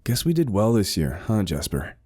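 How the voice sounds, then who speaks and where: Narrator voicing an easygoing deep-voiced dog owner. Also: male voice, big pitch swings, moderate pace, mellow, husky. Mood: fond, relaxed. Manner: casual murmuring and muttering. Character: easygoing male dog owner, affectionate, mildly wry. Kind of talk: character dialogue in horror audiobook.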